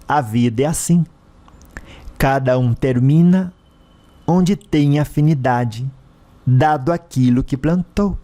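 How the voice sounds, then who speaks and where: A man's voice: medium pitch, mellow. A man voicing a cruel, vengeful dead husband in an audio story, a mocking spirit with a sneering, menacing manner. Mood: mocking, gloating. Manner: menacing, sneering, with drawn-out villain laughs.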